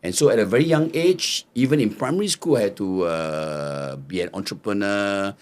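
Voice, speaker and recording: An older man from Singapore, a podcast guest telling lively stories and mimicking hawkers' cries. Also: on the low side, moderate pace. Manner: lively storyteller, mimics market hawkers' calls. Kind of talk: storytelling interview answers.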